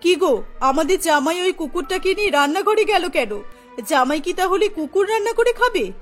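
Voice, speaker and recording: A woman's voice: extremely high pitch, expressive, moderate pace, soft background music. A woman voicing an anxious, fussing middle-aged mother-in-law in a cartoon, with a fretful manner. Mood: alarmed. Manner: fretful, fussy. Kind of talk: cartoon story dubbing.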